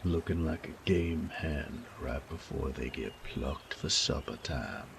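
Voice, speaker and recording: Man playing a very deep, gravelly bandit. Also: background noise, heavily acted. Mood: wry, complaining. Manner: slow, taunting drawl with folksy, profane phrasing. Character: sadistic male bandit, cocky, teasing, menacing rogue. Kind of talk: intimate roleplay audio drama.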